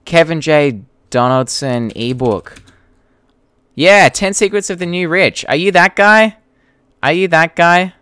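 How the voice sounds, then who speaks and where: Casual, clear-voiced Australian man. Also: a little high, measured pace, bright, forceful tone, no echo, studio quality. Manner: blunt, informal, sweary. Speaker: YouTube creator hosting a live Q&A. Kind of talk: casual livestream chat reading viewer comments.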